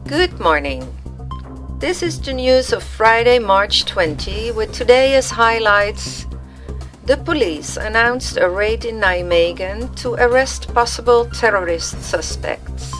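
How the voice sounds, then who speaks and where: A woman's voice: fairly high pitch, lively intonation, slow, fairly bright tone. A woman voicing a well-dressed male TV news anchorman, announcing formally in a radio play. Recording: music laid under the voice.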